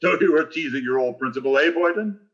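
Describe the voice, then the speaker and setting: Guy doing a blustery greedy old principal, old radio sitcom, highish sharp voice, muffled sound. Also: quick pace, close to an everyday voice. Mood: sly, expectant. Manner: pompous, blustery. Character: pompous, grasping older male school principal. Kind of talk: vintage radio sitcom acting.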